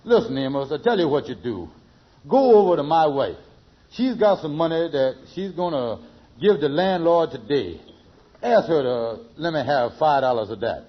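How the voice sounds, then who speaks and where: A muffled old radio comedy recording of a hapless schemer. His voice sits fairly high, and he blusters and boasts in folksy, ungrammatical talk, always angling for an advantage. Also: expressive, normal speed, breathy edge. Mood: scheming. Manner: blustery, folksy nonstandard grammar. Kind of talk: 1940s-50s radio sitcom acting.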